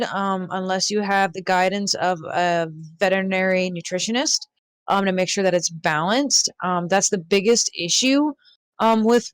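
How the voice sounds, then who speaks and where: A woman with a clear, smooth voice talks as a guest in a casual chat. She explains things informally at a medium pitch, recorded dry and close with no room sound. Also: no echo. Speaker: talk show guest, dog expert.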